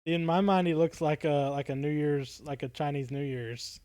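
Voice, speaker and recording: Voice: mid-range voice, normal speed, darkish tone, dry recording, clean studio sound. A podcast co-host talking casually, thinking aloud in a tentative way.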